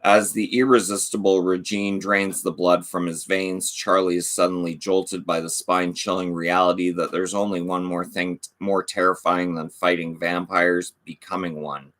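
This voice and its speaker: A man hosting a casual video channel, reading DVD back covers aloud and chatting about his collection. He says he is losing his voice, and his asides are low-key and offhand. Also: little pitch movement, moderate pace, few pauses, a bit bright. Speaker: channel host.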